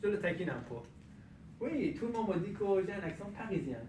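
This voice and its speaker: A man giving a humorous speech at a speaking club, telling a comic story with pauses for the punchlines. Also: sits high, big pitch swings, heavy background noise. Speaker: contest speaker. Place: club meeting room. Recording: echoey room, from far off. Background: audience laughter.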